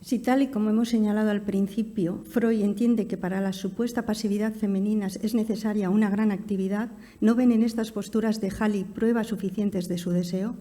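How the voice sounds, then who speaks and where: A woman's voice: mid-range voice, steady tone, brisk, hardly any pauses, warm tone. Woman presenting formally in a reverberant hall. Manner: formal, rhetorical questioning. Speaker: academic conference speaker. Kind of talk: reading a scripted academic paper aloud.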